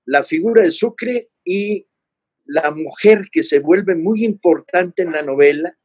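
A man's voice: sits high, takes it slowly, breathy edge, no room sound. Mexican male co-host, dry sound. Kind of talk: video call interview. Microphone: webcam on video call.

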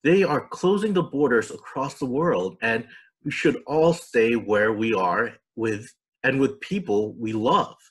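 A man's voice: medium pitch, measured pace, slightly rough. A man voicing an earnest, caring young child in a storybook, explaining things. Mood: urgent, earnest.

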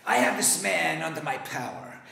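A man voicing a menacing snake who holds a man captive, speaking slowly and deliberately. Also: a little high, very animated, vocal fry, pushed and bright, heavy reverb, exaggerated voice. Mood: formal, probing.

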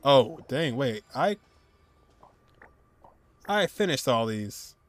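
A man's voice: mid-range voice, sing-song, drawn-out words, faint music behind. A man in his twenties giving casual, joking, slangy reaction commentary as he streams a video game. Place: indoors, streaming at a desk.

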